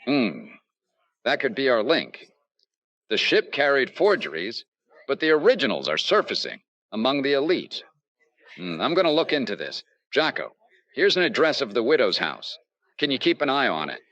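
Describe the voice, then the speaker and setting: An actor playing an earnest, dogged investigator in an old-time radio detective drama, urgent and no-nonsense in dialogue and narration. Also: male voice, mid-range voice, big pitch swings, moderate pace, fairly bright tone, no room sound, lo-fi sound. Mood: determined. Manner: hard-boiled, urgent, no-nonsense. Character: earnest, dogged male insurance investigator in a noir detective serial.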